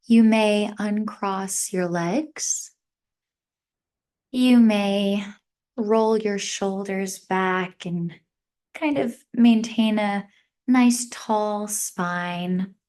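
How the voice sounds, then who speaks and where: A woman meditation instructor speaks at a very slow pace in a mid-range voice. She guides listeners gently, giving short, measured instructions and leaving long pauses between phrases. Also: mid-range voice, steady tone.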